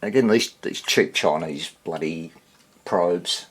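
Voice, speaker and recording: A man giving a casual hands-on repair demonstration, muttering to himself and pausing between short phrases as he thinks aloud. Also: deep voice, fairly bright tone, breathy edge. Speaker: hobbyist radio repairer presenting his workshop video.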